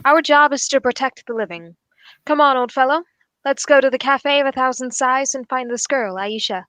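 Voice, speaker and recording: A young woman playing a keen, courteous detective in a radio mystery, phrasing everything formally and deliberately. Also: high voice, a bit bright, dry recording. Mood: urgent, resolute. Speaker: actor in a student radio drama. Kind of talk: old-time radio mystery acting.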